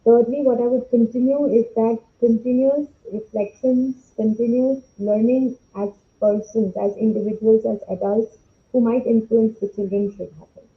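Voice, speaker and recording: A woman's voice: a little high, steady tone, moderate pace. A woman with an Indian accent, a teacher on a panel, explaining her views earnestly on slightly lo-fi audio. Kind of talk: unscripted panel discussion.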